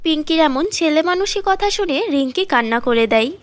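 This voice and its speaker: High-pitched woman narrating a fairy tale. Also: expressive, a little fast.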